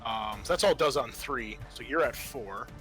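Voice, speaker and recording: A man's voice: mid-range voice, moderate pace, sharp tone, faint music behind. A man acting as game master, narrating a tabletop game in a casual, loose way.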